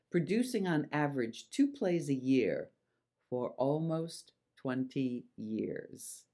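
Slow, expressive literary presenter with lively pitch. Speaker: presenter and reader. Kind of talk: scripted narration and poetry recital.

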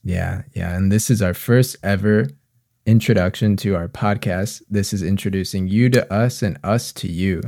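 A man's voice: sits low, normal speed, dark tone, no echo, clean studio sound. A man co-hosting a podcast, introducing it in a conversational way. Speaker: podcast co-host.

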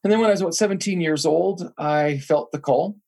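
A man's voice: a little high, fairly quick, creaky, a little mellow. A man who is a pastor, guest on a podcast, talking earnestly and reflectively about his calling. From grew up in Thailand. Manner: earnest, reflective, with some restarts. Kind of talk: interview guest answering.

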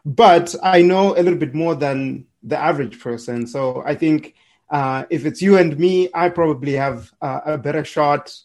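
Guest, male, cheerful storyteller, stumbles and restarts, lightly higher pitch. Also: normal speed, darkish tone. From Zimbabwe. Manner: upbeat, chuckling, restarts words, clears throat. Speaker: podcast guest, entrepreneur. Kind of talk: casual storytelling interview.

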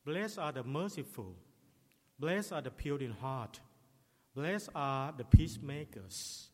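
A middle-aged man whose first language is Thai, preaching a sermon in English in a slow, measured way. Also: a little high, animated. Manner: slow, measured delivery with non-native English phrasing. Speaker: pastor. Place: church. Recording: long reverb of a church hall.

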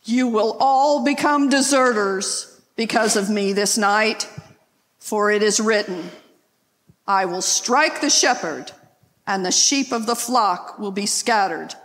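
Slow, solemn woman reading as Jesus, deep reverb. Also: fairly bright tone. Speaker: scripture reader, church member. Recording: echoey church hall, long reverb.

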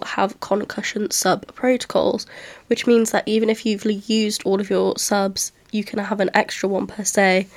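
A woman's voice: mid-range voice, a little mellow. Podcast host, female. Blocked-nose sound from a cold, medium register, level delivery, semi-scripted football recap. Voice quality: nasal. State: congested with a cold.

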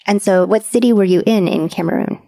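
A woman's voice: mid-range voice, a little fast, creaky, warm tone. A North American woman hosting an interview, asking questions in an encouraging, inquisitive way. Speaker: host. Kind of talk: conversational interview.

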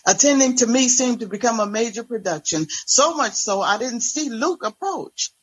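A woman's voice: fairly high pitch, animated, moderate pace, slight background noise, low-quality recording. A woman reading a first-person dramatic story as its narrator.

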